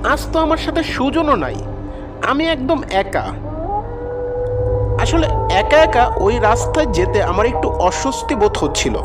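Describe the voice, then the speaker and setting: A man tells a horror tale in the first person as a weary, frightened ambulance driver. His delivery is dramatic and suspenseful, with a very animated pitch. Music plays clearly behind him. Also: measured pace, pauses often. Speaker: narrator.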